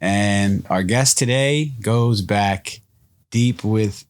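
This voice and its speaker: A young man co-hosting a podcast in casual chat, energetic and storytelling, often laughing. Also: fairly low pitch, extremely slow. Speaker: podcast co-host.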